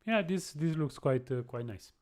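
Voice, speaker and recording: A young man chatting casually on a live coding stream, halting and restarting his sentences as he answers viewers. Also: a little high, lively intonation, a little mellow. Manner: halting, many restarts and repeated words.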